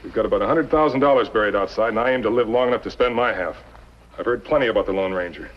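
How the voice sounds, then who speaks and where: A man's voice: fairly low pitch, rapid-fire, close to an everyday voice. A man in his thirties playing a blunt, skeptical cowboy outlaw in a 1950s TV western, with a sardonic delivery. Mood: defiant. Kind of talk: 1950s television western acting. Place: small wooden cabin set, indoors. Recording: muffled, noisy old 1950s TV soundtrack.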